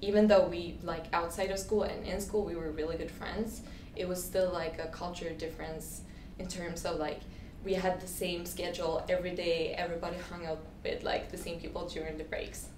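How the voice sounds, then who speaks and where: A woman's voice: lowish voice, level tone, brisk. A woman in her twenties from Sweden, a guest telling her story in a casual interview, chatty and full of "like" and restarts, often acting out what people said. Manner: chatty, lots of "like" and restarts, acts out quotes. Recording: echoey room, faint ambient noise.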